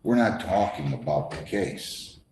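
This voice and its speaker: Male judge, low mellow voice, stern and interrupting, questioning from the bench in an echoey room. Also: lively intonation, unhurried. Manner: stern, firm, interrupts and repeats himself. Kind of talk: courtroom questioning and admonishing. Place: courtroom. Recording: echoey courtroom, faint background noise.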